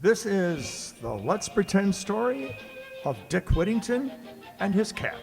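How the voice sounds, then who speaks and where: A genial storytelling uncle, played by a man for a children's radio play. His pitch is fairly high and moves widely, and the hall adds long reverb. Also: sing-song, unhurried, vocal fry, a little mellow, soft background music, heavily acted. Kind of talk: old-time radio storytelling.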